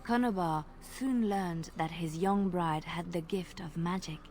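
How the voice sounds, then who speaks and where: Lady narrating a spooky old tale in character, lower voice, dramatic, goes hushed sometimes. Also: a little slow, a little hiss or hum. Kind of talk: storytelling a legend in character.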